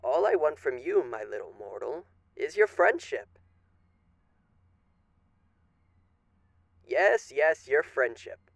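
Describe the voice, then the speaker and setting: A man's voice: a little high, big pitch swings, a little slow, gravelly, dry recording, clean studio sound, exaggerated voice. A man playing a suave, menacing radio demon in an ASMR roleplay, speaking with genteel, teasing, theatrical politeness. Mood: coaxing, condescending.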